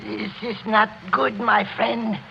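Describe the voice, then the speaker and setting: An actor playing a gloomy, ominous doctor with a croaking voice, in 1940s radio suspense style. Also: male voice, sits high, level tone, slow pace, exaggerated voice. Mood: ominous warning. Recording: muffled, slightly noisy vintage radio drama.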